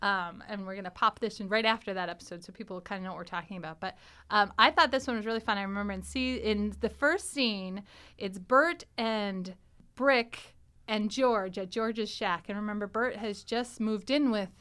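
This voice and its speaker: A woman hosting a podcast in casual, animated conversation. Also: mid-range voice, normal speed, clean tone. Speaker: podcast host.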